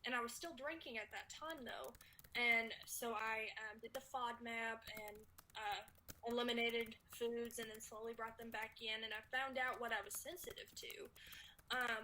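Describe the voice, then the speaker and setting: Bright Texan woman, laughing and hesitant. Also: fairly high pitch, a little hiss or hum, rough recording. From Texas. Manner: laughs often, hesitant with many "um"s, friendly. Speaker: meeting participant in recovery. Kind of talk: casual personal sharing.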